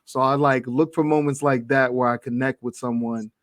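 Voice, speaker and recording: A man's voice: medium pitch, fairly even intonation, normal speed, no echo. Casual male interview host, dry sound. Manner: friendly, affirming with "right" and "yeah, man".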